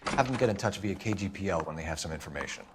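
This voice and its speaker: An actor playing a stern police detective in a game, questioning in a clipped, formal, insistent way. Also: male voice, sits low, lively intonation, very fast, very noisy. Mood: businesslike. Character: male police detective, stern, probing, tightly controlled. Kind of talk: dramatic interrogation dialogue.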